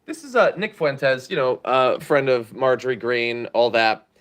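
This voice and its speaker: A man's voice: mid-range voice, unhurried, fairly bright tone. A man hosting a political commentary show, giving blunt, sarcastic opinions on the news.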